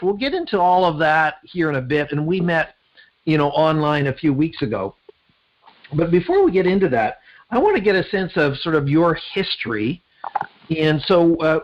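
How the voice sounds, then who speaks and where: A man's voice: on the high side, highs cut off. A man with a Canadian accent, speaking conversationally as a podcast host and interviewer.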